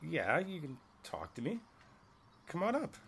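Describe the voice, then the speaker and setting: A man playing an easygoing, friendly older neighbor in a conversational ASMR roleplay, speaking thoughtfully with hesitant pauses. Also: on the high side, huge pitch swings, creaky, a bit bright, slight background noise, full character voice. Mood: curious, welcoming. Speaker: voice actor in an audio roleplay. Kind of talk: ASMR roleplay, one side of a casual conversation. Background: outdoor ambience with birds.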